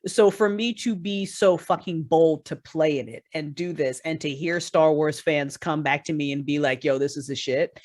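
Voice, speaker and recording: A woman's voice: low-pitched, normal speed, few pauses, darkish tone. A woman chatting casually as a podcast guest, effusive and swearing freely as she talks about her creative work. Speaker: guest, writer and creator of an audio drama.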